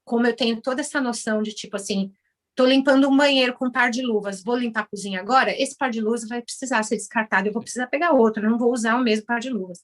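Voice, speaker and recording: A Brazilian woman in her forties, an orthodontist turned house cleaner, chatting in an interview and acting out conversations with animated voices. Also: on the high side, fast talker. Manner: animated, acts out dialogue with others in shifted voices. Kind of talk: casual interview storytelling.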